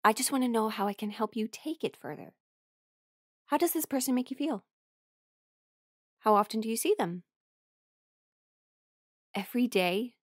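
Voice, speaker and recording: A woman playing a friendly, teasing young musician in an audio roleplay, speaking in a casual, halting, intimate way. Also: fairly high pitch, expressive, slightly rough, no echo, studio quality. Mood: thoughtful, probing. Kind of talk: intimate conversational roleplay acting.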